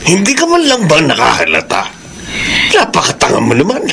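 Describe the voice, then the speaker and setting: A man in a Filipino radio drama playing a stern father who scolds his grown daughter forcefully. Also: a little high, wildly swooping pitch, a little fast, vocal fry, background noise, exaggerated voice. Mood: scolding.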